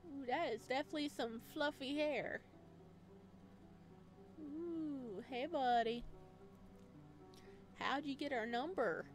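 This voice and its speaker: A woman doing a let's play, reading story text aloud and adding expressive, chatty reactions in a casual style. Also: high voice, takes it slowly, long pauses, faint music behind. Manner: expressive, chatty asides between read passages.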